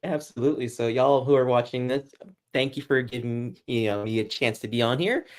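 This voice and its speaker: A man's voice: mid-range voice, fairly quick. A man from Boston with an American accent, chatting casually and with self-deprecation as a guest sports journalist. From Boston, United States. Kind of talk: casual livestream chat.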